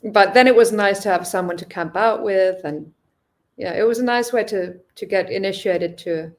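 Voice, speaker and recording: A woman's voice: medium pitch, normal speed. A young woman from Finland, a podcast guest, talking casually and thoughtfully with many restarts. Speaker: podcast guest, long-distance cyclist. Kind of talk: casual interview chat.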